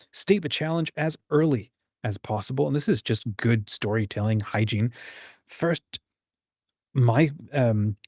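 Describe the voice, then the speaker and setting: A man's voice: mid-range voice, a little mellow, no room sound, studio quality. A man hosting an online writers' group, explaining things informally and conversationally while leaning on his key points. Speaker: host and anthology editor of a writing community. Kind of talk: informal explanatory talk to an online group, screen-share walkthrough.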